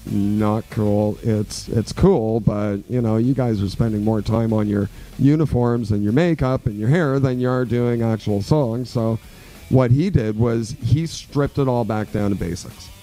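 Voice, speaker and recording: Male guest, 40s, from Quebec; low, mellow voice, relaxed rambling delivery over faint music. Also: measured pace, low-quality recording. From Quebec, Canada. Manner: relaxed, rambling storyteller with many fillers. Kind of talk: radio interview.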